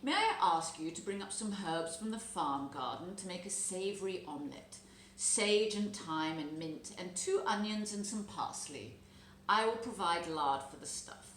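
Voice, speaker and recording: Storybook fox gentleman played by a woman: sly, courtly, capable of turning curt, very lively intonation. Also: lowish voice, a little slow. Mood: courtly, coaxing. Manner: courtly, formal, coaxing. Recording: roomy echo, background noise.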